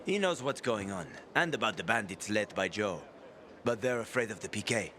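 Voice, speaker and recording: A man's voice: fairly low pitch, lively intonation, moderate pace, bright, forceful tone, a little hiss or hum. An actor playing a casual, gossipy male survivor in a video game. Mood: matter-of-fact. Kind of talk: naturalistic video game acting.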